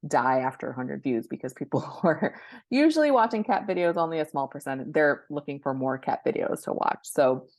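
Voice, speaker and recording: Low-voiced woman explaining, close dry sound. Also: lively intonation, brisk, darkish tone, no room sound. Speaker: guest book marketing expert. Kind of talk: conversational interview explanation.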